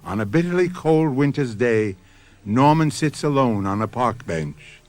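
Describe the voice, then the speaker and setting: A male host of a radio mystery series giving solemn, measured scripted narration. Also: medium pitch, lively intonation.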